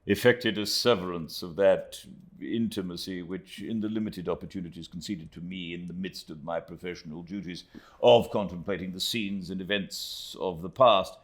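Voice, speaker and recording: A man voicing a pompous, florid, self-pitying middle-aged gentleman, reading out a letter with grand swells and theatrical drops in his voice. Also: low voice, fairly even intonation, normal speed, lightly acted. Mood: pompous lament.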